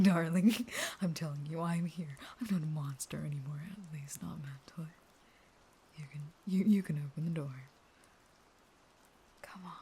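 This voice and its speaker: A woman playing a manipulative, unstable vampire wife in an intimate audio roleplay, speaking in halting, whispered pleas. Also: deep voice, warm tone, breathy edge, full character voice.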